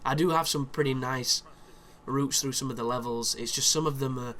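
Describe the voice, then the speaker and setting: Male gameplay commentator, mid-pitched, chatty and explanatory, slightly chesty voice. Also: steady tone, normal speed, a bit bright. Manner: chatty, explanatory, with frequent restarts and fillers. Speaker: video game walkthrough commentator.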